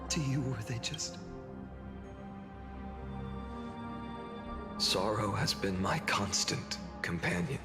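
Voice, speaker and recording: Slow, solemn, sorrowful hero. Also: male voice, fairly low pitch, vocal fry. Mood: bitter sorrow. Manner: solemn, deliberate, brooding. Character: weary male hero, sorrowful and quietly wrathful, recounting endless cycles. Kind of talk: dramatic video game voice acting, slow monologue. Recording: echoey long reverb, music underneath. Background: dramatic game music.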